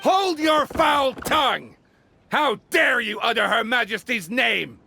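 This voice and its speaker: An actor playing a pompous, zealous and loyal royal herald in a video game, with a declamatory manner. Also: male voice, sits high, expressive, moderate pace, pushed and bright, slight background noise, full character voice. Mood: outraged. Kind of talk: English video game voice acting.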